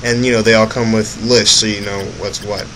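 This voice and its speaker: A man's voice: on the low side, level tone, a little fast, slight background noise, poor audio. A young man giving casual, rambling commentary on his own gameplay, often correcting himself mid-sentence. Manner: rambling, self-correcting, offhand asides. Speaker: let's play commentator. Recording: game music loud behind voice. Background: game music.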